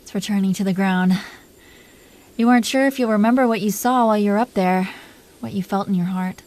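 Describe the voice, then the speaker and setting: Dragon queen , female performer: mellow, mid-pitched, soft and halting, playfully possessive and affectionate; intimate romantic audio roleplay. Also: lightly acted. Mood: gentle, wistful.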